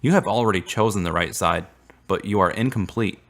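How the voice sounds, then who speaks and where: A man playing an earnest, devout young man in a radio drama, with measured, formal, preachy phrasing. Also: deep voice, fairly bright tone. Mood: solemn, assured.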